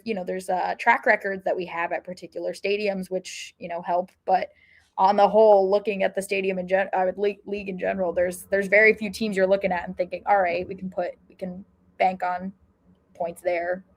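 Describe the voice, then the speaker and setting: A woman's voice: mid-range voice, level tone, normal speed. A woman speaks as a sports podcast co-host. Her delivery is casual and thoughtful, with an ordinary mid-range voice and frequent self-corrections as she works through her analysis.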